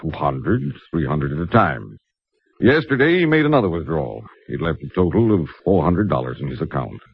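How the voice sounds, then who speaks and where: An actor playing a polite, concerned small-town banker in a 1950s radio drama. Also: male voice, low-pitched, very animated, brisk, vocal fry, slightly rough, no room sound, heavily acted. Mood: concerned, earnest. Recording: muffled old radio recording.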